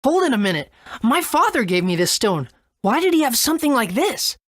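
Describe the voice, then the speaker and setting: High, lively adventurer boy. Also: a little fast, no room sound, studio quality. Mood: curious, surprised. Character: eager, wide-eyed young adventurer boy. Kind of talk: video game character acting.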